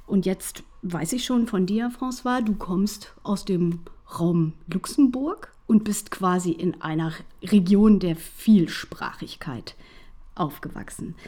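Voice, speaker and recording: A woman's voice: mid-range voice, animated, slow, warm tone. A woman hosting an academic interview, asking questions and encouraging her guests. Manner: encouraging, frequent affirmations.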